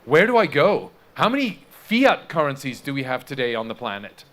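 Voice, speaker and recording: A man's voice: mid-range voice, big pitch swings, normal speed, a bit bright. A man speaking emphatically on a conference panel, posing rhetorical questions.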